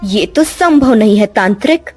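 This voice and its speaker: A woman's voice: medium pitch, animated, normal speed, a little mellow, breathy edge, faint music behind. A woman voicing a cunning, vengeful female serpent spirit in a cartoon story, declaiming with menace.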